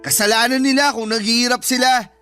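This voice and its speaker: A man's voice: very high voice, fairly even intonation, fairly quick, pushed and bright, soft background music. An actor playing a spoiled, disrespectful college-age son who talks back to his mother with sarcasm in a radio drama. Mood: callous. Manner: sarcastic, insolent back-talk.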